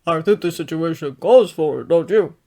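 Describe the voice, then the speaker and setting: A woman voicing a big, gentle grown male hero who comforts his young student in a fatherly way. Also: on the low side, normal speed, natural voice.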